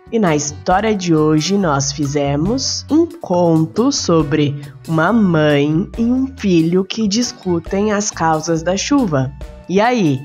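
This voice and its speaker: A woman hosting a bedtime-story podcast and narrating calmly. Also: on the low side. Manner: calm, unhurried storytelling. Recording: slightly muffled with soft music.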